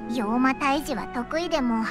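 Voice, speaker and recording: High, cheeky little fairy. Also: female voice, a little slow, loud music behind the voice. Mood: curious, puzzled. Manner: cute, blunt, exclaiming. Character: small, cheeky childlike female floating fairy companion.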